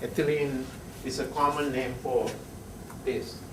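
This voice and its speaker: A man's voice: mid-range voice, flat delivery, distant mic, background noise. Man, lecturing in an echoey room. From Sri Lanka. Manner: halting, many "uh" pauses, checks in with "right?". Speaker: chemistry professor. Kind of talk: classroom lecture. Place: lecture classroom. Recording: echoey classroom heard from afar.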